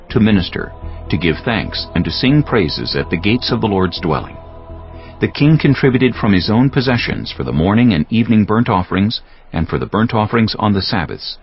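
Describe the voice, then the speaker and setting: A man narrating a dramatized scripture audiobook in a measured, solemn storytelling manner. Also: low-pitched. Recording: dull sound with music behind. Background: prominent music.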